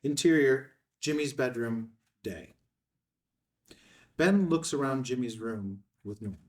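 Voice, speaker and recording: A man's voice: medium pitch, takes it slowly, recorded from a distance. A man reading scene headings and stage directions in a clipped, scripted narration at a table read.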